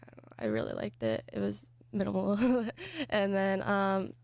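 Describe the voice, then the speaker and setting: A woman's voice: medium pitch, very animated, moderate pace, creaky, a little mellow, no echo, clean studio sound. A young woman, a graphic design student, shyly answering interview questions and trailing off with fillers.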